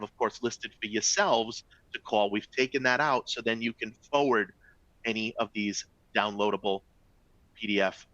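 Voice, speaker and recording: A man's voice: fairly low pitch, sharp tone, no echo, lo-fi sound. A middle-aged man with a Boston accent presenting a training webinar, chatty and upbeat, often checking in with "all right?" and "folks". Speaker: travel company executive presenting a webinar.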